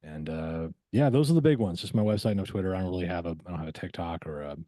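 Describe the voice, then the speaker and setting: A man's voice: low voice, lively intonation, fast talker, dark tone, dry recording, clean studio sound. A man speaking casually as a podcast guest, in an easygoing, informal and encouraging way. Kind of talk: casual interview chat.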